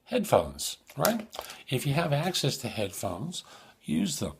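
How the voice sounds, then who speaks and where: A man hosting an advice show in an informal, conversational coaching manner, with a husky, slightly rough voice. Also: medium pitch, wildly swooping pitch, takes it slowly, background noise. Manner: informal, emphatic coaching, acts out sample questions. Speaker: host of a job search advice show.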